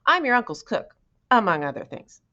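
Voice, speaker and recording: A woman's voice: on the high side, very animated, moderate pace, sharp tone, muffled recording, voice pushed up. A woman reading a story, voicing a welcoming, hearty female cook in a cheerful manner. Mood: hearty, friendly.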